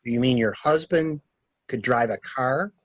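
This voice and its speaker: A man's voice: mid-range voice, a little slow, dry recording, natural voice. A man in a dramatic mystery reading, playing a shrewd stranger who questions a widow with wry, insistent probing. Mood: probing, curious. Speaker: library staff reader.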